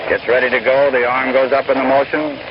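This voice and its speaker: Male American baseball radio announcer, 1940s style, folksy play-by-play, muffled audio with clear background noise. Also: medium pitch, flat delivery, fairly quick. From United States. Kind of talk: old-time radio sports play-by-play commentary. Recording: noisy, muffled 1930s-1940s radio recording.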